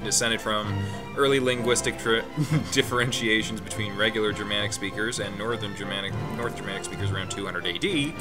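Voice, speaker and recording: A man's voice: lowish voice, fairly quick, music in the background. A man hosting a let's play, reading the game text aloud with his own asides and chuckles.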